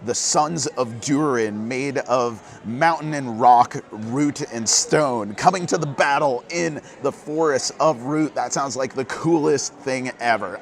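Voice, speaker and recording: A hoarse man hosting a casual board game news roundup, earnest and quick, getting fired up at times. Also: medium pitch. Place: busy convention hall.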